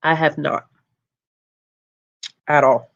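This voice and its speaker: Calm, low-voiced book streamer. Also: extremely slow, gravelly, muffled recording. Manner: relaxed and chatty, laughs often, trails off with ums. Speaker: book channel host. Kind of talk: casual livestream chat, reading out viewer comments.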